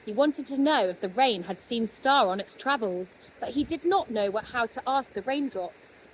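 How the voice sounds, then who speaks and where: A woman narrating a children's story expressively, with gentle dramatic pauses. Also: a little high, expressive, slight background noise, poor audio. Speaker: storybook reader.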